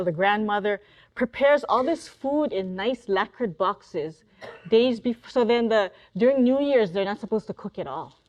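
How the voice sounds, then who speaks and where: A middle-aged woman, an author, leading a relaxed talk story session in a library classroom, chatty and quick to laugh. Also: mid-range voice, dull audio. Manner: chatty, laughs often, restarts sentences. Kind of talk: casual group discussion.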